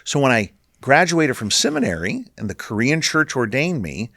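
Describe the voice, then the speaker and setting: A man's voice: medium pitch, moderate pace, vocal fry, no echo, studio quality. A middle-aged man from Northern California speaking as a ministry leader in a casual, earnest interview. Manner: earnest, animated storyteller.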